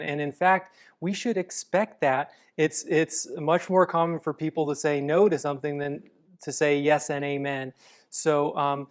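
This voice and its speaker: Measured male guest, smooth and clear, patient explainer. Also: a little high. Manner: calm, measured, explanatory with frequent restarts. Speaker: apologetics guest. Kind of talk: conversational interview answers.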